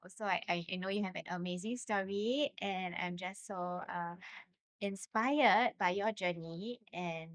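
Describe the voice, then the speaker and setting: A woman's voice: fairly low pitch, a little slow, no room sound. Effusive woman interviewer. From Singapore. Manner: effusive, gushing with many fillers. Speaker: host, fashion brand owner. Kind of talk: casual interview.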